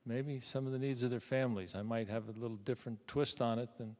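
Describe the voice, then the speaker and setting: An older man introducing himself formally to a public panel, courteous and deliberate, like a retired officer. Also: mid-range voice, fairly even intonation, darkish tone. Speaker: panelist, retired military officer and school principal. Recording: reverberant hall sound.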